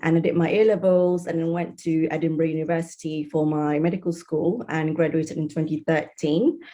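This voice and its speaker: A woman's voice: low-pitched, dark tone. A woman in her thirties from Malaysia, giving an online talk as a surgeon. She is tired after a night shift and talks in a friendly way, with frequent ums. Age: 30s. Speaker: guest speaker, surgeon and researcher. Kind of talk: online presentation. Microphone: video call.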